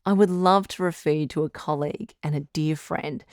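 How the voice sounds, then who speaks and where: A woman playing a calm doctor who hosts a radio advice show in an audio drama, measured, articulate and reassuring. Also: sits low, no room sound, studio quality. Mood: reassuring, sincere. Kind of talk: scripted audio drama as radio call-in host.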